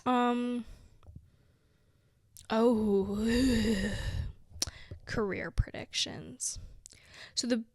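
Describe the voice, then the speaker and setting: A woman's voice: fairly high pitch, steady tone, extremely slow, pauses often, dry recording, studio quality. A woman in her twenties chatting casually as a vlogger, giggling often and filling her talk with "like.". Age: 20s. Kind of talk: casual chat to camera.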